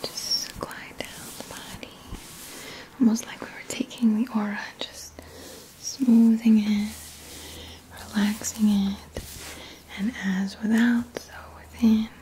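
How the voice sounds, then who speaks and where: A woman in her thirties doing gentle, soothing ASMR in a breathy whisper, close to the mic with unhurried pauses. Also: mid-range voice, flat delivery, a little hiss or hum, low-quality recording. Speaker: ASMR host. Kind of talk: ASMR, soft guided relaxation roleplay. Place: small indoor room.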